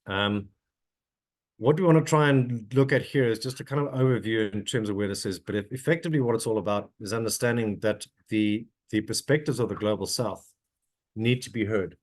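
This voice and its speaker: A middle-aged man presenting on a webinar over a video call, hoarse with a cold and pausing often on ums and uhs. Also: fairly low pitch. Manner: halting, frequent ums and uhs, restarts. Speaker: webinar speaker.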